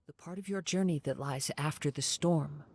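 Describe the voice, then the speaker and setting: A man playing a serene, courteous young wandering samurai in an anime-style game, speaking calmly in formal, measured phrases. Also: a little high. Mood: calm, earnest.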